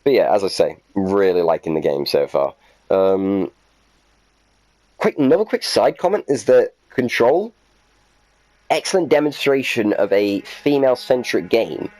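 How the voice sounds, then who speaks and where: A man's voice: on the low side, lively intonation, measured pace, darkish tone, low-quality recording. A man hosting a gaming video, chatting casually and reading documents aloud in a playfully self-mocking way. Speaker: gaming video host and reader.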